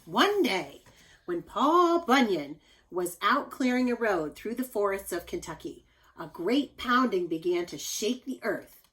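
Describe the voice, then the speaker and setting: A woman teacher reading a children's story aloud, explaining things simply and speaking directly to young listeners with expressive emphasis. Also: mid-range voice, a little slow, poor audio.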